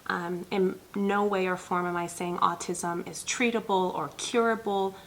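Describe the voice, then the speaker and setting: Woman from ethiopia talking to camera, gravelly kinda flat voice, lots of ums, faint hiss behind. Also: on the low side, unhurried. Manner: earnest, many ums, speaks directly to viewers. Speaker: pediatric nurse practitioner and channel host. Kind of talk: personal talk to camera.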